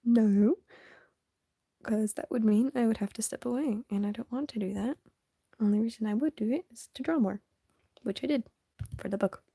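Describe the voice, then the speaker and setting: Hoarse, whispery young woman streaming. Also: fairly high pitch, no room sound, clean studio sound. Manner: soft, often half-whispered, hesitant and rambling. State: sniffly, voice going hoarse. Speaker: live-streaming artist. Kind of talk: casual live stream chat while drawing.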